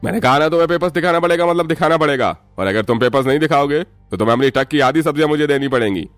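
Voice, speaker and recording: A man's voice: a little high, very fast, dry recording, faint music behind, lightly acted. A man voicing a greedy, bullying fake policeman in a cartoon story, bossy and threatening. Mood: barking, threatening.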